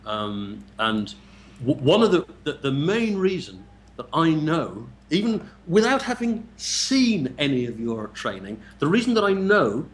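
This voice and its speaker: A man's voice: on the high side, sing-song, measured pace. A man from England with an English accent, hosting an interview in a chatty, casual way, often repeating words as he starts a thought. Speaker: interview host.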